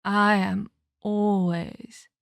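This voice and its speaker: Ancient female vampire mentor played by a woman for ASMR roleplay: mid-pitched, measured, condescending and teasing, quietly menacing, recorded dry. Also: flat delivery, creaky, dry recording. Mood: wistful, ominous. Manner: condescending, teasing, measured, addresses listener as child.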